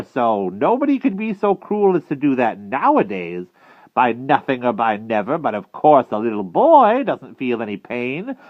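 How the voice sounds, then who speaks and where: Man as a gloating, high-pitched cruel witch. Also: no echo, highs cut off. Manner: theatrical cooing, gleefully menacing. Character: gloating cannibal witch, cruel, mock-affectionate older woman.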